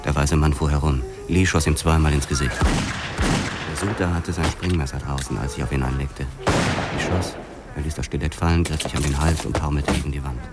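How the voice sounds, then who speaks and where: A world-weary cop narrating a noir radio drama, super deep voice, music behind. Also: male voice, steady tone, brisk. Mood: grim, terse. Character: world-weary young male police detective narrating his story. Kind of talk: first-person hardboiled crime radio drama narration.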